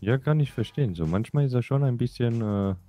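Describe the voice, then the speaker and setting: A man's voice: medium pitch, lively intonation, a little fast, mellow. A man chatting casually in character as a player in an improvised role-play game. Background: music in the background.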